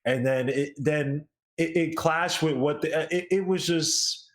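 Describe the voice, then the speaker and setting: An American man with a slightly high voice talks music as a show co-host. His chat is casual and opinionated. He is animated and slangy, and swears freely. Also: unhurried.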